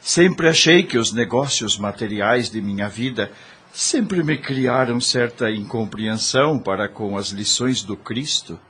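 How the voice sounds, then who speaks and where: An actor playing an earnest, humbled gentleman in an audio drama, with a husky voice and formal, ponderous delivery. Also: mid-range voice, a little slow. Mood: reflective.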